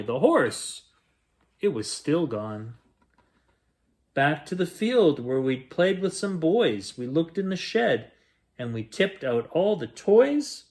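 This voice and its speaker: A man reading a rhyming picture book aloud as a bedtime story, in a gentle, expressive storytelling manner. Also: on the high side. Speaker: children's bedtime story reader.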